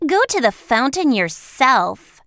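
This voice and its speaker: A woman's voice: a little high, big pitch swings, takes it slowly, a bit bright, no room sound, studio quality, voice pushed up, exaggerated voice. A woman voicing a haughty, rude young girl in a storybook, insolent and emphatic.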